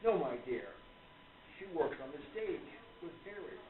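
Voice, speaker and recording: A man playing a polite, measured gentleman who gently reproves others in a radio play. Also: fairly high pitch, expressive, close to an everyday voice. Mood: gently reproving. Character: gentlemanly believer in spiritualism, courteous, gently reproving. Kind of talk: stage radio play acting. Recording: tinny, echoey, distant with audience noise.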